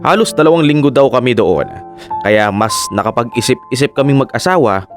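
An actor narrating as a remorseful fisherman in a radio drama, quiet and reflective. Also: mid-range voice, animated, a little fast, natural voice. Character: remorseful fisherman narrating his own story. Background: guitar music.

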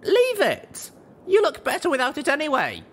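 A man's voice: very high-pitched, huge pitch swings, normal speed, a bit bright, pitched above the performer's own voice, heavily acted. A man voicing an anxious, urgent young female pony in a storybook reading. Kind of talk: storybook character acting.

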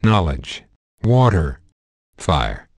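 A man's voice: low-pitched, big pitch swings, extremely slow, no room sound, heavily acted. A man playing a dramatic fantasy game announcer who calls out isolated words and short names. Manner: isolated, dramatic single-word callouts. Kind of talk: one-word game announcer callouts.

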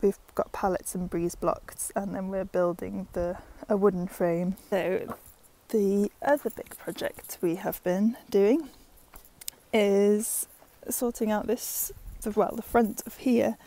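A young woman giving a relaxed, chatty walkthrough of her land and barn, with plenty of fillers and soft laughs, recorded on an earphone mic outdoors. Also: mid-range voice, slow pace. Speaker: vlogger. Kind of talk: casual walkthrough narration.

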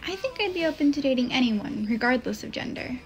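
A woman playing a sweet, open-minded teenage girl with a gentle manner in a comic dub. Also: high voice, sing-song, quick pace, highs cut off. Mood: easygoing. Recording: music behind the voice.

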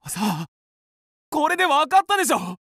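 An actor playing a panicky, indignant gentleman who stammers and protests his innocence in a radio drama. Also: very high-pitched, sing-song, normal speed, no room sound, studio quality, full character voice. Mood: shaken, earnest. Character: indignant, panicking young gentleman passenger wrongly accused.

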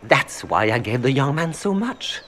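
An actor playing a suave, calculating merchant in a video game, speaking formally with a French accent. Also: male voice, medium pitch, very animated, a little slow, fairly bright tone, a little hiss or hum. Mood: smooth bargaining. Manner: courtly, formal, smoothly unapologetic. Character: suave, calculating Orlesian merchant seeking noble influence. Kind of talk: video game dialogue acting.

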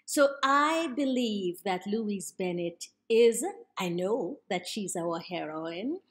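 An older Jamaican woman speaking in a measured, emphatic way, building her points through repeated lists. She sits in a home study lined with bookshelves and talks into a webcam on a video call. Her pitch sits in a middle range. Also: lively intonation. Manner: measured, emphatic, with list-like repetition. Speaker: poet paying tribute. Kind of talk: prepared spoken tribute.

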